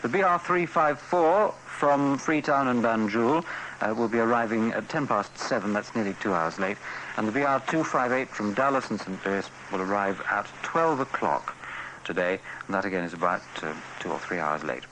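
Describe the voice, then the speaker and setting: A man's voice: on the low side, normal speed, background noise. A man giving a live radio travel bulletin in a hesitant, rambling way on an old tape. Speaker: radio travel news presenter.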